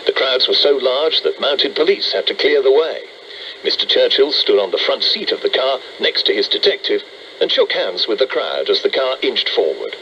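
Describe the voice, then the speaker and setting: A man's voice: medium pitch, big pitch swings, normal speed, bright, forceful tone, dull audio. A British man reading a scripted radio news bulletin in a 1940s style. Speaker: radio newsreader. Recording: noisy band-limited medium wave radio.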